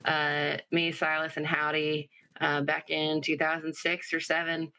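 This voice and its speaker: A woman's voice: sits low, little pitch movement, measured pace, pushed and bright, low-quality recording. A woman from Alaska, a musician guest telling her story in a laid-back way. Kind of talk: casual interview chat.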